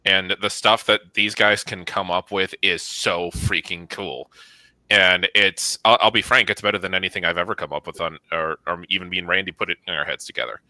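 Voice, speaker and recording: A man's voice: sits low, steady tone, brisk, sharp tone, dry recording, low-quality recording. A middle-aged man explaining his work as an interview guest, informal and enthusiastic. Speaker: interview guest, studio manager. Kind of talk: casual explanatory chat.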